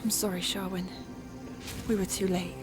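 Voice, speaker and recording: A woman's voice: medium pitch, little pitch movement, moderate pace, over music, natural voice. An actress playing an earnest, caring female adventurer who takes charge, in an audio drama. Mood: distressed, sorrowful. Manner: earnest, urgent, compassionate. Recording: long reverb.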